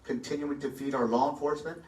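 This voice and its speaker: A man's voice: medium pitch, fairly even intonation, fast talker. A man running for mayor, answering a forum question in a confident, emphatic way on a stage in a large hall. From Texas. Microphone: handheld mic, filmed from far back. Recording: echoey hall, far from the phone.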